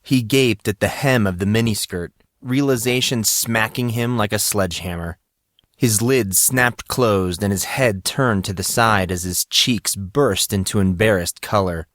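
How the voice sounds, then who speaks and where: A man's voice: on the low side, measured pace, a bit bright, dry recording, studio quality. A man narrating fiction in a scripted, dramatic audiobook style.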